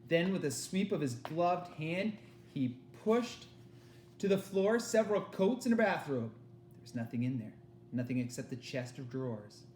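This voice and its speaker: A man reading a children's novel aloud with expressive narration, recorded in an echoey room. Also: on the high side, a little hiss or hum. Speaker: read-aloud reader.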